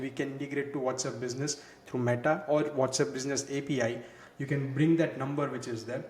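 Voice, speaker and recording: A man presenting a software walkthrough in a conversational, explanatory way, leaning on filler words. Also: mid-range voice. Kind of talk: walkthrough demo narration. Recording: echoey, roomy sound.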